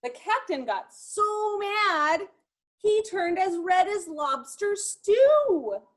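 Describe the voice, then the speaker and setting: A woman reading a children's picture book aloud expressively, in measured rhyme with chatty asides. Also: extremely high pitch. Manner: expressive, measured rhyming delivery. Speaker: storytime reader.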